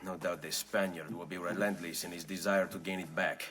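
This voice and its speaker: Game hero: young Italian assassin, low voice, courteous, wry, music underneath. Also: male voice, steady tone, a little fast, fairly bright tone. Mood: wry, determined. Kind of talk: video game cutscene acting.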